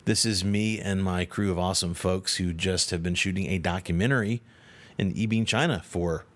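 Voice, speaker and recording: A man with a very low, deep voice hosts a podcast in a relaxed, chatty way. He records in a hotel room, speaking into a shotgun movie mic. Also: moderate pace. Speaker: podcast host. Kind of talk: casual podcast interview.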